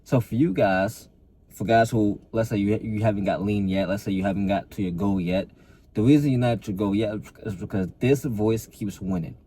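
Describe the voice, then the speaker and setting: A young man with a low, mellow voice speaks straight to his viewers as a fitness coach. He pushes hard and earnestly, doubling back on his words as he goes. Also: moderate pace, low-quality recording. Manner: repeats and restarts words, earnest motivational push. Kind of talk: casual talk to camera.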